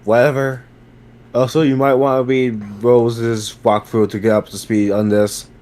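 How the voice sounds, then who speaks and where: A man's voice: medium pitch, level tone, a little slow, darkish tone. A man taking part in a dramatic reading, delivering dialogue and short commands in a casual style. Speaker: reader in a group dramatic reading.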